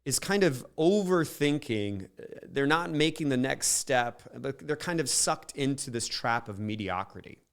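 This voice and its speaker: A man's voice: mid-range voice, lively intonation, a little slow, vocal fry, hardly any pauses, clean tone. A man hosting a podcast who asks long, rambling questions full of fillers. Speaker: podcast host. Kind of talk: interview questions.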